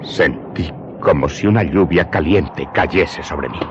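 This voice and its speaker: A man's voice: very deep voice, very noisy. An actor playing a haunted, humble Corsican smuggler turned servant who confesses his story in a radio drama. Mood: grave, haunted. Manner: deferential, haunted, deliberate storytelling. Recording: muffled audio under prominent music.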